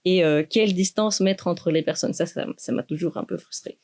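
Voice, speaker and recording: A young woman, a doctoral student speaking in a thoughtful, measured way with many pauses in a university podcast interview. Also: lowish voice, a little mellow. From Greece. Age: 20s. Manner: measured, thoughtful, with frequent hesitations.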